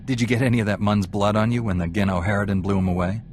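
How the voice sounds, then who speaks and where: A man playing a low-voiced, curt Jedi warrior. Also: flat delivery, fast talker, background noise, natural voice. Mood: stern. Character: stern, dry-witted young male Jedi warrior hero. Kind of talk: video game cutscene acting.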